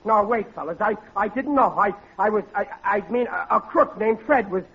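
A man's voice: high-pitched, normal speed, slightly rough. An American man acting a blustering, gullible working-class husband in a 1940s radio comedy, prone to stammering and folksy grammar. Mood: panicked. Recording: muffled old radio recording, studio audience, hiss.

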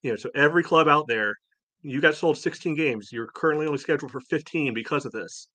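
A man's voice: a little high, quick pace, bright, forceful tone, no echo. Emphatic, blunt man on a sports podcast. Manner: frequent "you know" filler. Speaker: soccer podcast host. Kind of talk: opinionated sports podcast discussion.

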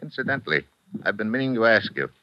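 A man's voice: fairly low pitch, very animated, quick pace, no echo. A man playing a tough, wry investigator in a vintage radio drama, talking in short laconic lines. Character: tough wisecracking male private investigator. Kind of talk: 1940s radio acting. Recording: dull vintage radio recording.

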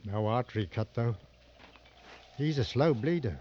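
Man acting a gruff, sardonic safari hunter, muffled old radio. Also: medium pitch, expressive, normal speed, natural voice. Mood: tense, watchful. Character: weary, sardonic male big game hunter and safari guide. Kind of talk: 1940s-60s radio drama acting. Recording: dull, noisy old radio recording.

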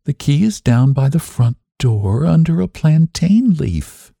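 A man's voice: lowish voice, animated, moderate pace, warm tone, no echo, studio quality. A man reading dramatically as an unhinged, secretive, defiant woman narrating a gothic story. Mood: giddy, defiant. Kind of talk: dramatic first-person audiobook reading.

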